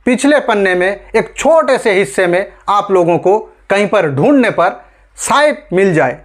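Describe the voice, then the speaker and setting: A man's voice: high voice, expressive, measured pace. A man from India presenting online news commentary in an emphatic, rhetorical way, addressing his audience directly. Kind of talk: scripted news commentary to camera.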